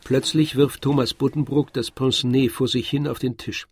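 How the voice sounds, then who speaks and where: A man narrating a literary radio drama from a script in a measured storytelling manner. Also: medium pitch, fairly even intonation, slightly rough, no echo. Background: faint music.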